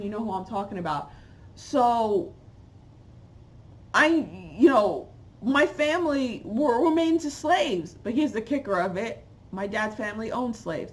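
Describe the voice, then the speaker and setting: A woman, talking straight to a webcam from a room at home in an opinionated vlog, jumping from loud exclamations to muttered asides. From Haiti, raised in New York. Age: 40s. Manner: animated, swings from loud exclamations to low asides. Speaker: channel host. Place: home room with bookshelves, indoors. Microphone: webcam at close range.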